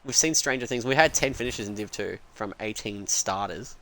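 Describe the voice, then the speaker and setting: A man's voice: on the low side, normal speed, fairly bright tone, poor audio. Young aussie guy calling a sim race live, chatty, jokes around, swears casually. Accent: Australian. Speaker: sim racing commentator. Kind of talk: live esports commentary.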